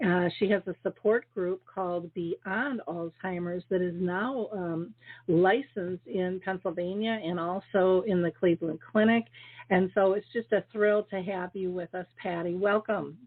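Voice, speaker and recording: A woman hosting an interview show, chatty and filling pauses with "um" and "you know". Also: fairly low pitch, fairly even intonation, husky. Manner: chatty, full of fillers and warm thanks. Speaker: podcast host. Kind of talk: casual interview chat. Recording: phone-like video call audio.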